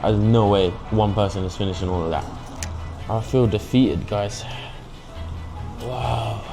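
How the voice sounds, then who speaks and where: A man's voice: on the low side, measured pace, creaky, over music. A young man with an English accent, chatting casually through a food vlog, cheeky and mixing in Mandarin phrases. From England. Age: young adult. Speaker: food vlogger. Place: hotpot restaurant.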